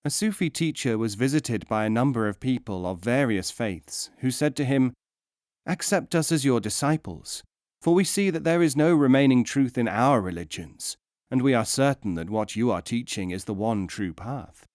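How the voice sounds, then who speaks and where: A man narrates an audiobook of philosophical teaching tales in a medium-pitched voice. His delivery is measured and instructive, with emphasis placed on key words. The sound is dry, with hardly any room on it. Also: lively intonation, a little mellow, no echo.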